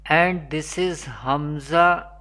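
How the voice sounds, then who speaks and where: A Quran teacher, giving an online lesson in a slow, repetitive teaching manner, with Arabic recitation. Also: medium pitch, bright, forceful tone.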